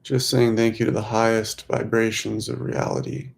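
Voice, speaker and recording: A man leading a guided meditation in a soothing, unhurried manner, speaking in short phrases with long pauses. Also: medium pitch, level tone, vocal fry. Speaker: meditation guide, host of a weekly group.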